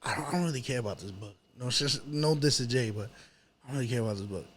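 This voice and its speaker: A man's voice: medium pitch, rapid-fire, slight background noise. A man with a New York accent chatting casually as an interview guest, telling stories in slangy, repetitive phrasing. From New York City, United States. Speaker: guest, rapper. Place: apartment.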